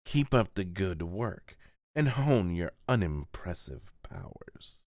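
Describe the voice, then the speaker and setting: A man's voice: low voice, sing-song, takes it slowly, darkish tone, no room sound, clean studio sound, exaggerated voice. A man voicing a coldly amused, condescending mad scientist villain in a theatrical manga voice-over. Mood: mocking amusement. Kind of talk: amateur manga voice-over acting.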